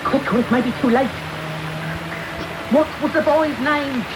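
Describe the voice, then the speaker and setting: Through the static of a taped radio broadcast comes a brusque matron of middle age. Her voice is fairly high, and her delivery is curt, snappish and impatient, in the manner of a radio play. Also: measured pace. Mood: impatient, grasping. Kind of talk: radio drama acting. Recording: noisy off-air medium-wave radio tape.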